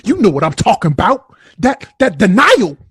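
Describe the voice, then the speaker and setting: Joking young care worker, husky, animated. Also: male voice, sits high, normal speed, no room sound, clean studio sound, heavily acted. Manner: slangy, playful bantering. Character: joking, irreverent young male nursing-home worker. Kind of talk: naturalistic audio drama acting.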